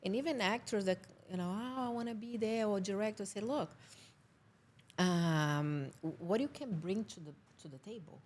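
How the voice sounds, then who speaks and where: Woman, Brazilian accent, Portuguese native, halting English, casual interview guest, often laughs. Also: medium pitch, darkish tone. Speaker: film producer guest. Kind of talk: casual podcast conversation.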